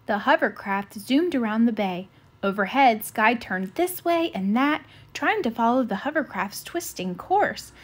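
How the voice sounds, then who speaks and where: Lady reading a kids picture book out loud, highish voice, super animated and expressive. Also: unhurried. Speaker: children's book reader. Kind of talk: expressive storybook read-aloud.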